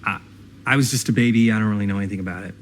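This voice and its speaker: A man's voice: on the low side, fairly even intonation, very fast, a little hiss or hum, close to an everyday voice. An actor playing an easygoing but cautious young American man in a video game, speaking in a casual, slightly hesitant and wry way. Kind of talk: naturalistic video game acting.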